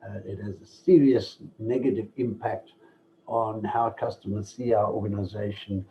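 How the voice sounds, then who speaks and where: A man giving an online masterclass from slides, measured and listing points with pauses and fillers, over a computer mic without a headset. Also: steady tone. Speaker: masterclass presenter. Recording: dull low-fi online call audio with faint noise.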